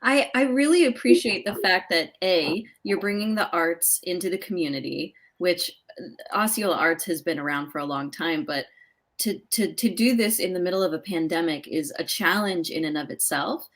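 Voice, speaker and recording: A woman's voice: on the low side, moderate pace, a bit bright. A woman hosting a live interview conversationally, encouraging and appreciative toward her guests. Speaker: live show host, interviewer.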